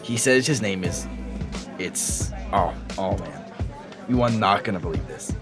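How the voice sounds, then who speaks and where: A man's voice: deep voice, unhurried. A man acting a foul-mouthed, teasing police colleague in casual audio drama banter, drawling and crude. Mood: amused, teasing. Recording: music behind the voice.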